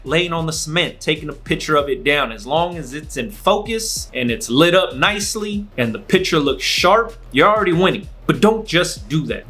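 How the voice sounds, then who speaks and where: A Californian man with a bright, forceful voice pitched a little high talks casually to camera like a coach. He drives points home with repeated phrasing and quick check-in questions, over faint background music. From Los Angeles, California. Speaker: host, clothing brand owner giving marketing advice. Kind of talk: casual instructional talk to camera.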